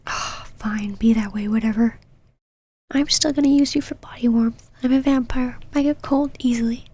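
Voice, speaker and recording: A woman voicing a possessive, secretly tender vampire knight in an intimate ASMR roleplay, whispering softly in a haughty yet halting, easily flustered way. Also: a little high, fairly even intonation. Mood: flustered, embarrassed. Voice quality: breathy, soft whisper.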